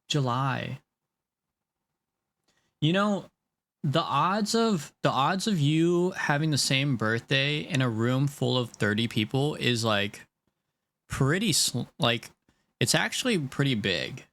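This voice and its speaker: A man's voice: mid-range voice, animated, takes it slowly, creaky, long pauses, fairly bright tone. A man in his late twenties casually chatting with viewers on a live stream while he draws, rambling and laughing as he goes. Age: 20s. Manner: rambling, chatty, laughs often, talks to chat.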